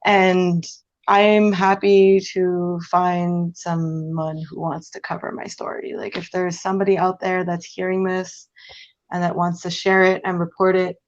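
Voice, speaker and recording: A man's voice: high-pitched, muffled recording. A guest on a video call talking casually about his plans in a laid-back way. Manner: laid-back, short answers.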